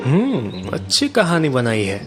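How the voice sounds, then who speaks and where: A man voicing a cocky, crafty young antique dealer in a cartoon story, confident and smoothly polite. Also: mid-range voice, huge pitch swings, unhurried, faint music behind. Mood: scheming.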